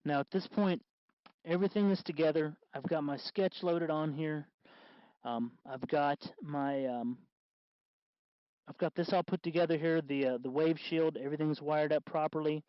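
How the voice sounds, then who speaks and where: A man hosting a casual hands-on DIY tutorial, explaining in a halting, unscripted way, with slightly muffled sound. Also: on the high side, fairly even intonation, no room sound. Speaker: host of DIY electronics tutorial.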